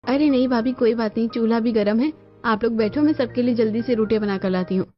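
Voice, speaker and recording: Woman playing selfless young daughter-in-law, cartoon drama, reassuring, highish, dull audio. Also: fast talker, natural voice. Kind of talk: cartoon family drama acting. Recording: band-limited audio with music behind.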